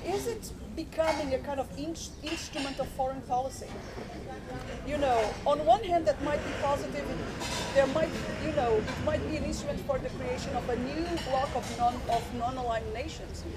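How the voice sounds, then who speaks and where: A woman from Portugal with a high voice asks a long, academic question from the audience. She talks in an earnest way and often restarts her sentences. Steady background noise runs under her voice. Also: normal speed. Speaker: audience member asking questions. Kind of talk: spontaneous question at a public forum.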